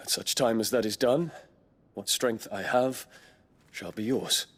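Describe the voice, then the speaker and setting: A man playing a proud, dutiful young prince in a video game, speaking formally and gravely. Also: mid-range voice, close to an everyday voice. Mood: resolute. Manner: formal, grave, measured diction. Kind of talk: dramatic video game cutscene acting.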